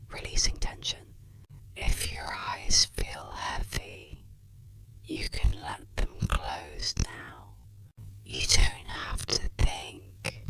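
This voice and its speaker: A breathy, gentle whisper, speaking soothing and reassuring words in the style of an ASMR guided relaxation session. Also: deep voice. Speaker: ASMR therapist roleplayer.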